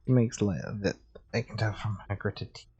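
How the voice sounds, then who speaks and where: A man reads a visual novel aloud on a livestream in a relaxed way. His voice is mellow and husky, and the microphone sound is slightly muffled. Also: fairly low pitch, normal speed. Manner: relaxed, chilled. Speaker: game streamer.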